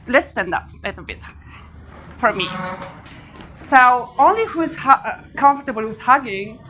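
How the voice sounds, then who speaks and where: A woman in her forties with a Romanian accent, giving a live talk to an audience with animated storytelling and frequent laughter. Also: on the high side, slight background noise, rough recording. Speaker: speaker. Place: large event hall with an audience.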